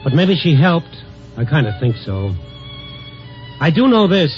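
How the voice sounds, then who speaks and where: A wry, world-weary night reporter. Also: male voice, on the high side, sing-song, measured pace, faint music behind. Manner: dry, wisecracking narration. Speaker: newspaper reporter and narrator. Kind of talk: 1950s radio acting. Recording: dull old radio broadcast.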